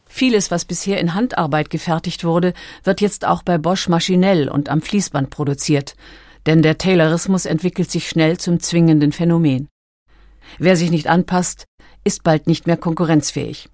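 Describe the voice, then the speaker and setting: A female narrator reads documentary script with composed, measured delivery. Her voice is slightly low and soft in tone, recorded close and very dry. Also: fairly even intonation, no echo.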